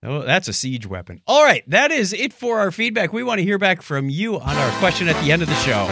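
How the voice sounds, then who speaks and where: A man in his fifties talks casually as a podcast co-host. His voice sits a bit high and swings widely in pitch. He is jokey and likes to act out quotes and sound effects while chatting. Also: sing-song, fairly quick, over music. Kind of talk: casual banter and listener feedback.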